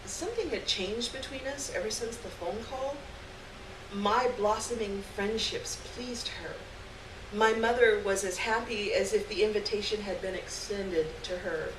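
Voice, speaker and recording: A woman's voice: medium pitch, a little slow. Woman reading fiction aloud, echoey distant sound. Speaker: reader of a short story on stage. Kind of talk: expressive live literary reading to an audience. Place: indoor stage reading before a live audience. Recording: echoey, noisy live room, distant mic.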